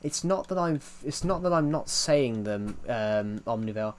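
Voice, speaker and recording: A man's voice: mid-range voice, lively intonation, normal speed. Man with a thick, R-heavy accent. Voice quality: thick accent, strong R sounds. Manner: rambling, answers chat messages aloud. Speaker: live streamer. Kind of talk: casual live-stream chat. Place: at home at a PC.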